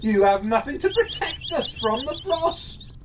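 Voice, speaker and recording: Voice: sits high, very animated, unhurried, noisy background, voice pushed up. A storyteller voicing fussy, complaining small birds and insects in a children's fairytale. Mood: scornful.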